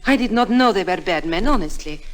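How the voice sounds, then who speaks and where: A woman's voice: medium pitch, expressive, brisk, close to an everyday voice. Woman acting swooping, scheming femme fatale. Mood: pleading, indignant. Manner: pleading, dramatic, careful phrasing. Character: beautiful, scheming foreign femme fatale, pleading and seductive. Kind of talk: 1950s radio drama acting.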